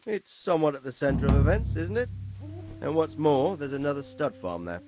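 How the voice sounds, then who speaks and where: A man playing an authoritative, grave nobleman in a video game, speaking formally and articulately with a measured, commanding manner. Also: a little high, lively intonation, noisy background, close to an everyday voice. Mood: measured, explanatory. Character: authoritative nobleman lord, measured, grave, stern about justice. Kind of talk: video game cutscene acting.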